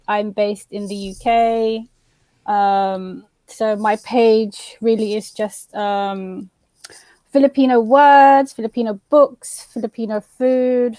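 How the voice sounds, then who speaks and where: Higher-voiced woman, slow casual chat. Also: mellow, no echo. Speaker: co-host of a livestream talk show. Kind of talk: casual unscripted livestream chat.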